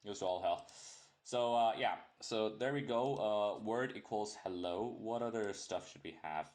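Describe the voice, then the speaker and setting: A man's voice: fairly low pitch, a little slow, a little mellow. A young man teaching a programming lesson in a casual, rambling way, often correcting himself and joking as he goes. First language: English. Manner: rambling, self-correcting, jokey asides. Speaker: programming tutor. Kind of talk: casual screen-recorded coding tutorial.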